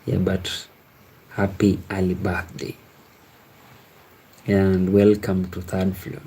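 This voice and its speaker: A man talks casually to viewers as a live stream host. His voice is dark and mellow, and he speaks slowly with little rise or fall. He often pauses and restates what he said, with faint ambient noise behind him. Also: deep voice, monotone, poor audio. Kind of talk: casual chat with live viewers, reading out and answering comments.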